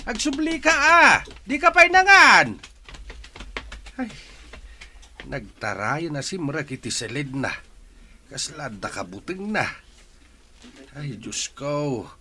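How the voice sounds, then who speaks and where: Man acting an animated, pleading worried father. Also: a little high, takes it slowly, pauses often, a little hiss or hum, heavily acted. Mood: anxious, pleading. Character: worried, grieving father pleading with his troubled son. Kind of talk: Ilocano radio drama acting.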